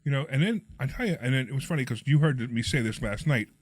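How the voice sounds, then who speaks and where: Hoarse, fast-talking middle-aged man. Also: mid-range voice, no echo. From Pennsylvania. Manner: animated, quick storyteller. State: hoarse, froggy voice. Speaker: podcast guest, real estate investor. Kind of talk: casual storytelling chat.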